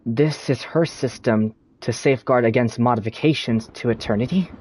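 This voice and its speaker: A man playing a calm, thoughtful young traveler hero in a video game, with a slightly husky voice. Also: medium pitch, normal speed, no echo, close to an everyday voice. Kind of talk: scripted video game dialogue.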